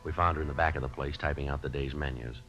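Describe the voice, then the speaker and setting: An actor playing a courteous, no-nonsense American police detective in a 1950s radio drama, clipped, polite and deadpan. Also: male voice, a bass voice, fast. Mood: matter-of-fact. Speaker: lead detective and narrator. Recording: muffled old radio recording with music.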